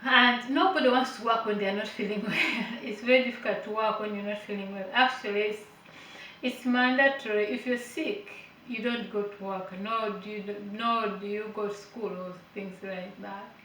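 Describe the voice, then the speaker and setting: A woman's voice: mid-range voice, steady tone, moderate pace, sharp tone, slight background noise. A woman from Uganda, a care worker giving an informal health lesson, reading notes aloud and explaining them with hesitant fillers and light chatty asides. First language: not English; Finnish is her third language. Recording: echoey room, voice a bit far from the mic.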